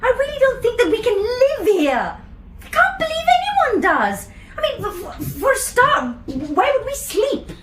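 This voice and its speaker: A woman's voice: very high-pitched, very animated, moderate pace, background noise. An actress playing a skeptical young woman househunting with her partner, sarcastic and scornful, in a radio drama.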